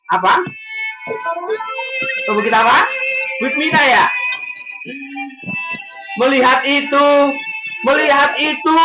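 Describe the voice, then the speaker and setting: A woman telling religious stories to children in an animated way, questioning them and repeating phrases. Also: high-pitched. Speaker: children's storyteller with puppet. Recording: echoey room, loud music bed.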